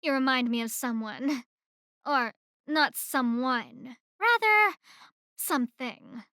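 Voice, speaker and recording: Slow, high-voiced haughty female boss played by an actress. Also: animated, pushed and bright, dry recording, clean studio sound, exaggerated voice. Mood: amused, patronizing. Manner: condescending, deliberate, mocking. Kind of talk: ASMR roleplay acting. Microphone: close microphone in a dry room.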